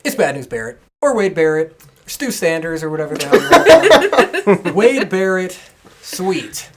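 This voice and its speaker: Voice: mid-range voice, sing-song, normal speed, heavy background noise. A podcast host chatting informally and talkatively, full of opinions about pro wrestling.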